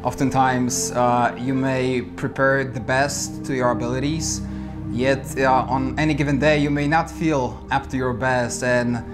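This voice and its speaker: Polish-accented male amateur cyclist, thirties, measured reflective interview delivery, clear music bed underneath. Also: mid-range voice, level tone. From Poland. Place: indoor studio with dark backdrop.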